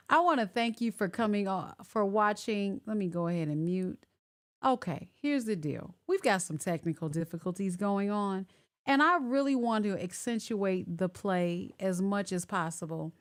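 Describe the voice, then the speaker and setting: A woman's voice: medium pitch, expressive, normal speed. A woman hosting a live online talk show over a video call, chatty and repeating herself as she checks whether her guests can hear her. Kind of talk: casual live-stream chat.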